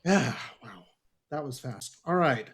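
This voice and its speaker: A man's voice: mid-range voice, expressive, measured pace, gravelly, over a noisy crowd. A man hosting a podcast, reading a prepared introduction to a book discussion with wry, dry asides. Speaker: podcast host. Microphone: online group call.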